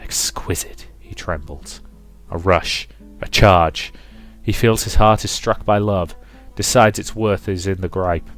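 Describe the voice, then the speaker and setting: Low voiced guy doing a visual novel let's play, rhythmic dramatic reading, music behind him. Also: a little slow, hardly any pauses, fairly bright tone.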